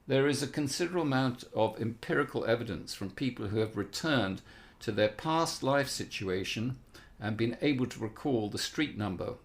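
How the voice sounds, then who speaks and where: A man's voice: medium pitch, lightly acted. A man reading the part of an opinionated, eccentric aunt from a storybook, lecturing emphatically.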